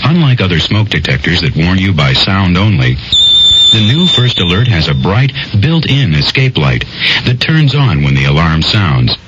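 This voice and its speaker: A man's voice: sits low, a bit bright. A man reading a scripted 1970s radio commercial as an announcer. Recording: dull, slightly noisy radio broadcast.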